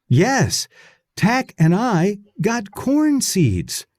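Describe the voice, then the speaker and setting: A man's voice: high-pitched, wildly swooping pitch, drawn-out words, a little mellow, no echo, studio quality, pitched above the performer's own voice, heavily acted. A man voicing an upbeat, chatty young boy in a children's storybook, phrasing things carefully. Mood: cheerful.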